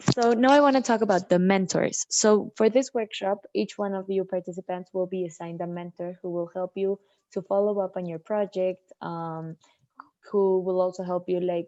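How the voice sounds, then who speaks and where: A woman with a low, mellow voice runs an online workshop in a friendly, organized way, often pausing with fillers. She speaks close and dry over a video call. The sound is dull. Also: no echo. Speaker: workshop host and mentor.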